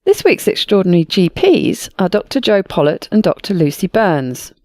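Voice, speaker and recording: A woman hosting a podcast, croaky and stuffy from a heavy cold, reading a scripted intro and then chatting casually. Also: medium pitch, sing-song, moderate pace, a little mellow, no echo, studio quality. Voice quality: croaky, snotty. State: hoarse with a cold. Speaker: podcast host.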